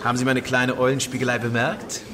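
Over busy party chatter, an actor plays a sardonic man with a middling pitch. He needles and taunts slyly, with theatrical flourishes suited to a radio drama. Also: level tone, fast, natural voice. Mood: sly, taunting. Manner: sly, mocking, theatrical. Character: sardonic, needling male film-world acquaintance with sly taunts. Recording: busy crowd chatter behind.

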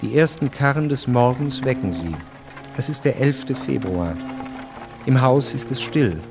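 Sober narrator for a history radio play, medium voice, measured, faint music under. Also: male voice, mid-range voice, animated. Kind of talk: scripted literary narration in a radio drama.

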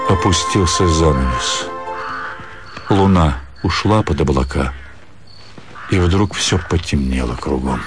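A man narrating a literary radio play in a measured, expressive, dramatic storytelling manner. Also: low-pitched.

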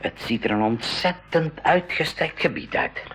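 Worried earnest explorer in a radio play, very lively pitch, a bit hesitant, faint noise behind. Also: male voice, unhurried. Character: thoughtful, worried male jungle expedition member. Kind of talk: 1980s school radio play acting.

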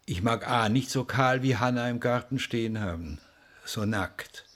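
A man's voice: medium pitch, moderate pace, a bit bright, close to an everyday voice. An actor playing a lonely, grieving older father who tends bonsais, musing in sparse radio drama monologue. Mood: grieving, tender.

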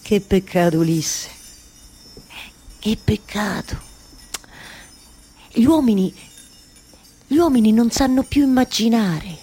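A woman's voice: mid-range voice, lively intonation, slow, long pauses, warm tone, slightly rough. A woman with a Roman Italian accent playing a weary, yearning wife who weaves stories, in an incantatory theatrical monologue. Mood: sorrowful wonder. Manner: incantatory repetition, shifting from murmur to insistence. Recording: live music behind the voice.

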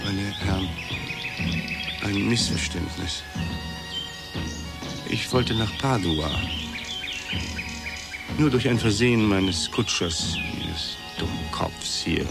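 An actor playing a flustered, courtly, aging and portly gentleman adventurer, short of breath, in a German radio play. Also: fairly low pitch, slow pace, vocal fry, long pauses, over loud music. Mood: indignant, uneasy.

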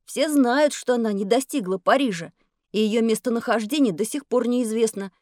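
A woman voicing an excitable, adventurous schoolboy in an educational audio drama for children. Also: a little high, normal speed, dry recording, clean studio sound, lightly acted. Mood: awed, wistful. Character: excitable, adventurous schoolboy dreaming of heroic deeds.

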